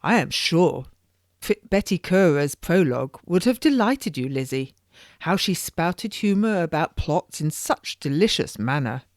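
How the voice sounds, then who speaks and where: A woman voicing an agitated genteel noblewoman who gossips and exclaims in high, theatrical bursts. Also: expressive, a little slow, no echo, studio quality. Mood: gossipy. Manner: rising, theatrical, emphatic outbursts.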